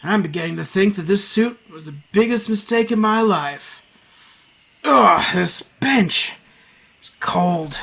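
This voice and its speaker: Guy playing a flustered nice dude stuck being santa, kinda rough husky voice, stumbles over his words, radio play. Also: sits high, expressive, bright, forceful tone, exaggerated voice. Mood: grumbling. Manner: hesitant, halting, stammering. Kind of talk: audio drama acting.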